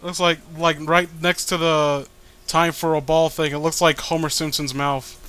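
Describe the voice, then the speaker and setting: A man with a high, bright voice gives casual comedic commentary as he reads along. His sound is clear and smooth, and his manner is sarcastic and self-deprecating. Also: level tone, normal speed. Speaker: co-host reading a comic aloud.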